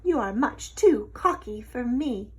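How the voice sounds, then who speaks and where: A woman's voice: on the high side, expressive, unhurried, breathy edge. A woman voicing a young lady cockroach in a storybook, cool, witty and dryly polite. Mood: coolly polite. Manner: dry, coolly polite, mock-sweet. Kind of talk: storybook character voice.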